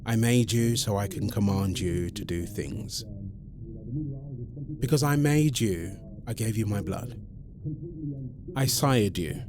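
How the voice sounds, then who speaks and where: A man playing a centuries-old vampire boyfriend in an ASMR roleplay, exasperated but protective, speaking haltingly and pleadingly to the listener. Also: lowish voice, a crowd in the background. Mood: patient, explaining. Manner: hesitant, halting, pleading, addresses listener directly.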